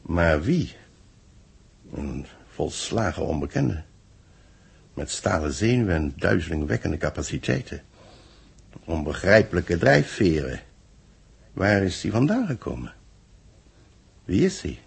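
Brief: deliberate space official, low and very husky, measured delivery, vintage radio play. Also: male voice, big pitch swings, heavily acted. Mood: puzzled, grave. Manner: measured, formal, expository. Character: grave, deliberate male space research official. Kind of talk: 1960s radio drama acting.

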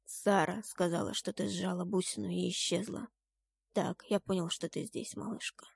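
A reassuring man comforts his sweetheart in a fantasy audiobook, as a woman narrator plays him. He speaks softly, in a hushed and soothing way. The recording is close and dry. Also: lowish voice, fairly even intonation, normal speed, gravelly, slightly rough, no echo, pitched below the performer's own voice, heavily acted. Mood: tender. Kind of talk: dramatic fantasy audiobook acting.

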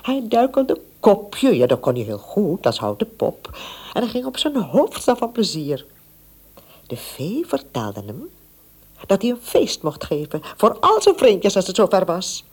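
A woman with a breathy voice narrating a scripted children's story. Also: medium pitch, huge pitch swings, measured pace, darkish tone.

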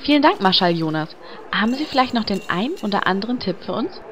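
Woman as lively, sardonic adventuring heroine. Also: medium pitch, fast, close to an everyday voice. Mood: practical. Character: dry, sarcastic, practical heroine. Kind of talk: fantasy parody radio play. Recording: dull sound, clear background noise.

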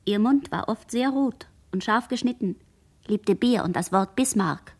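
A woman's voice: mid-range voice, unhurried, a little mellow, breathy edge. An actress playing a traumatized girl in a radio play, reciting fragmented memories like an incantation. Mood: brooding. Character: traumatized girl, barely conscious witness, dreamily remembering. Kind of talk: literary radio play monologue.

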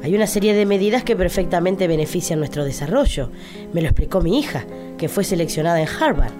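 A woman acts out a pompous Argentine lady politician in a satirical radio sketch. Her voice sits a little low as she declaims with boastful scorn. Music runs clearly behind her. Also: expressive, fast talker, lightly acted. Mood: indignant, boastful. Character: haughty, boastful, scornful opposition politician, a doting mother.

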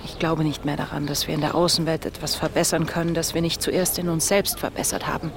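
A woman's voice: deep voice, flat delivery, quick pace, gravelly, noisy background. An actress playing an earnest, reflective young woman making an insistent point in a radio drama dialogue. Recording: loud outdoor street ambience.

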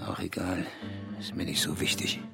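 A man's voice: deep voice, little pitch movement, normal speed, gravelly, over music. An actor playing a bitter, self-absorbed middle-aged writer in a radio drama, brooding and declamatory in his accusations. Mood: needy, sullen. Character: bitter, self-absorbed East German male writer, needy lover.

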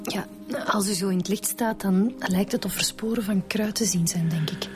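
A woman's voice: medium pitch, moderate pace, over music, natural voice. Actress playing a factual Flemish female detective. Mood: businesslike. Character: matter-of-fact female police detective. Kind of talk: radio drama acting.

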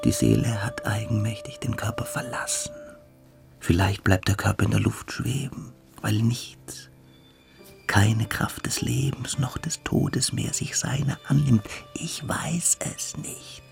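Slow, creaky-voiced fanatical young cadet. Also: male voice, on the low side, faint music behind. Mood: rapt, fanatical. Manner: slow, hypnotic commands, sinking into whispers. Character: fanatical young cadet, cruel, commanding amateur hypnotist. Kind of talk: radio play acting.

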